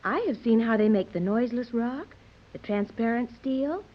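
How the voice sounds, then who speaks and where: A woman's voice: on the high side, moderate pace, natural voice. An actress playing an earnest, literal-minded young island woman who speaks formally, in 1930s radio serial style. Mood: solemn warning. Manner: formal, careful diction without contractions. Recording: dull old 1930s radio recording.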